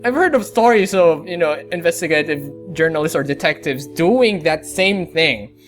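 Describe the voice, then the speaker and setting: Female gaming host, deep for a woman, chatty and exclamatory, reacting casually, music underneath. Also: animated, moderate pace. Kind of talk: casual reaction commentary on a game.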